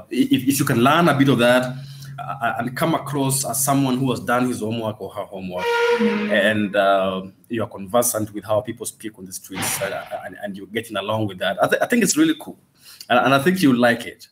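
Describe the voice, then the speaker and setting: A man from Western Kenya with a Kenyan accent, a native Swahili and Luo speaker, presenting an informal guide for tourists in a halting way with repeated words and pauses. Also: lowish voice. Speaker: language and culture guide, presenter. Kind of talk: informal explanatory talk to camera for tourists.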